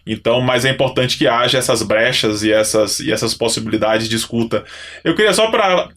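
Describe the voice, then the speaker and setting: A male podcast host with an average-pitched voice who thinks aloud as he talks. He restarts his phrases often while working his way toward a question for his guest. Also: brisk, fairly bright tone. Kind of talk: casual podcast conversation.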